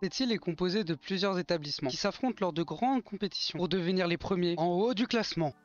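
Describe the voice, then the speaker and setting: A high-voiced man talks casually about an anime, quick with jokes and with mock outbursts aimed at his editor. The audio is a little dull, and faint music plays behind him. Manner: joking, jumps into exasperated outbursts at his editor. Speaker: anime YouTuber. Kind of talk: casual anime review vlog.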